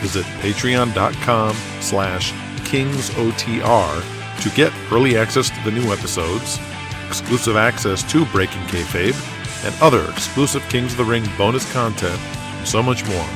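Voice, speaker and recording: Low-voiced man narrating over prominent music. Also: moderate pace. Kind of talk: scripted audio drama narration. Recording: music bed behind voice.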